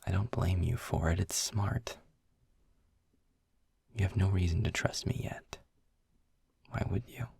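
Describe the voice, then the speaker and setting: A man playing a sarcastic, guarded demon guide in an intimate audio roleplay, speaking in dry, measured phrases with a breathy, gravelly edge, close on the microphone. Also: a little mellow, in a whisper, full character voice. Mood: gentle, reassuring. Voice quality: husky, breathy, gravelly low voice. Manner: dry, measured, halting with pauses. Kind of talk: intimate scripted audio roleplay, slow and deliberate. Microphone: close studio-style microphone, dry.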